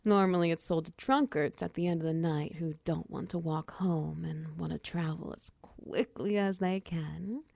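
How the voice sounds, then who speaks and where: Creaky, mellow minotaur wizard woman, acted by a woman. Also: deep voice, fairly even intonation, normal speed, hardly any pauses, no room sound. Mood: businesslike, friendly. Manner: teasing, coy, softly breathy in places. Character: flirtatious, confident female minotaur wizard running a potion shop. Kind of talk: roleplay ASMR, intimate one-sided dialogue to the listener.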